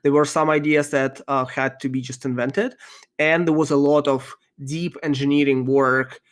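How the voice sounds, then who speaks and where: A man whose first language is Russian, answering interview questions in a thoughtful, halting way with many ums and restarts. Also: mid-range voice, fairly even intonation. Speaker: podcast guest, software engineer.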